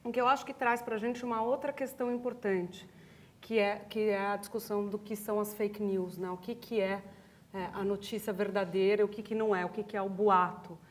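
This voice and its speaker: A woman with a Brazilian accent takes part in a panel discussion. She speaks at a middling pitch as one of the panelists. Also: fairly even intonation, fairly quick.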